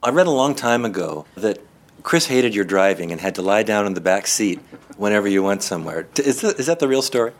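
A man's voice: fairly low pitch, expressive, brisk. A man speaking as host and interviewer in a conversational presenting style. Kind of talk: conversational program hosting.

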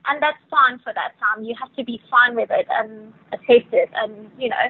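A woman's voice: on the high side, normal speed, slightly rough. A woman from Venezuela whose first language is Spanish, speaking English with a South African accent, answering interview questions with many hesitations. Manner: hesitant, many fillers and restarts. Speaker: yacht crew member, guest. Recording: tinny phone line, dry.

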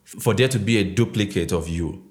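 Deep voiced nigerian-born novelist guy in his 30s, slow thoughtful answers, lots of uhs. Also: a little mellow. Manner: measured, with many fillers and restarts. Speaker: novelist guest.

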